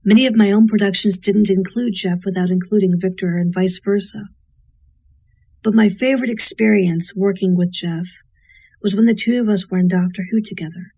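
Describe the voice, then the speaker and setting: A woman delivers a prepared spoken tribute. Her voice is husky and even, with little rise or fall, and her manner is measured and sincere. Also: medium pitch. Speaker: voice actor paying tribute.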